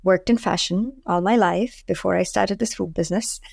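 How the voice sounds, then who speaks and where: A woman in her forties with an Indian accent speaks in casual interview style. Her voice sits at a medium pitch, and the recording is dry, with very little room sound. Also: normal speed, creaky, a little mellow, dry recording. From Delhi, India. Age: 40s. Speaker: podcast guest, food entrepreneur.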